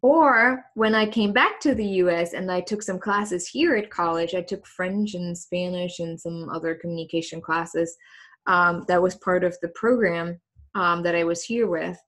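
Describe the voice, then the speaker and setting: A woman's voice: fairly low pitch, normal speed, a bit bright. A woman talking openly in a casual interview, reflective and thoughtful, with frequent ums and restarts. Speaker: host.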